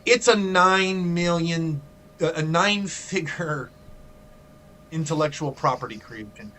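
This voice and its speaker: A man co-hosting a podcast over a video call, chatting casually and trading quick jokes. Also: on the high side, bright, forceful tone, a little hiss or hum. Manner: joking, quick banter. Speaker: podcast co-host. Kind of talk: casual chat.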